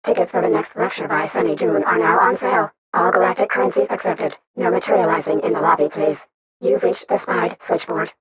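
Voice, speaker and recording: A woman voicing a deadpan recorded phone operator for an alien-investigation agency. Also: deep voice, a little fast, a loud crowd, close to an everyday voice, rough recording. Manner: deadpan, recorded-message delivery. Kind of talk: game voice acting.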